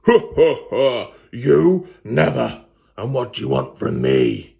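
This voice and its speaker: A huge fire fiend bellows and laughs with open scorn, put on by a man reading aloud. The voice is loud and commanding, with a gravelly edge, like a storybook monster lording it over a trespasser. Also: fairly low pitch, very animated, measured pace, heavily acted. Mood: mocking laughter. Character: huge booming male fire fiend, mocking.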